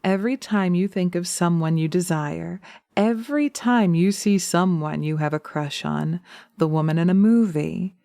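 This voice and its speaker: A woman playing a seductive, vengeful witch in slow hypnotic audio roleplay, breathy and often whispering, teasing and commanding, close to the mic. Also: lowish voice, dark tone, dry recording. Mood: mocking, commanding. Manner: sultry, drawn-out, teasing, commanding. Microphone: close microphone, dry binaural recording.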